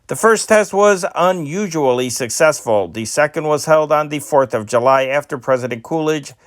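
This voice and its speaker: A man's voice: on the high side, animated, normal speed, dry recording, clean studio sound. A man reading old newspaper stories aloud in an amateur style, sometimes stumbling and restarting words. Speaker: news reader.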